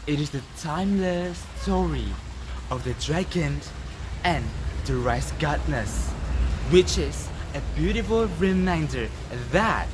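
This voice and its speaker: Slow, theatrical Indonesian teenage storyteller, noisy recording. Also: medium pitch. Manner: theatrical, deliberate, emphatic pauses. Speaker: student storyteller. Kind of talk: dramatic oral storytelling for a competition.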